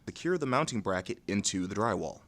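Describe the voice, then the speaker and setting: A man with a fairly low voice narrates an instructional video. His delivery is scripted and methodical, keeping a neutral, measured tone as he moves from step to step. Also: lively intonation, a bit bright.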